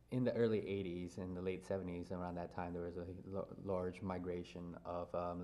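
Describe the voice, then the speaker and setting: A man in his thirties, an interview guest who grew up in Louisiana, talking through his family background in a relaxed, thoughtful way with many pauses. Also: low voice. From grew up in Louisiana, USA. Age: 30s. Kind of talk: casual interview.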